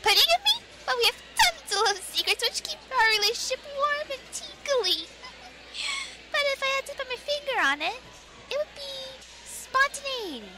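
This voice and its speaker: Very high-pitched perky teenage girl. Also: big pitch swings, normal speed, noisy background. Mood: gushing. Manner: cutesy, bubbly wording and delivery. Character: perky, giggly teenage girl, gushing and mischievous. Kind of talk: audio drama acting.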